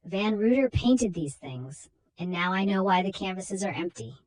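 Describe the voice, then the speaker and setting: A young woman painter from a gothic storybook, as a woman reader plays her, frightened yet determined. Her delivery is dramatic and pleading, at an average female pitch. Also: lively intonation, normal speed, lightly acted, rough recording.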